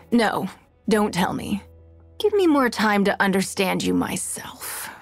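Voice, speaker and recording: Slow-spoken, poised, shrewd noblewoman. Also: mid-range voice, no echo. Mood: soft, intrigued. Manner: composed, refined, deliberate, coolly authoritative. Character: poised, shrewd, wealthy woman leader, elegant and calculating. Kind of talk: video game character voice lines.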